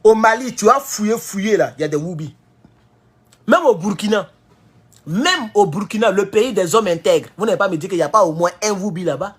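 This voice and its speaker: Husky, lively Ivorian man ranting. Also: a little fast. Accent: Ivorian French. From Ivory Coast. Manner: heated, emphatic, swings between loud high outbursts and low mutters. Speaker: political commentator. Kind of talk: political commentary monologue. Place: at home in California.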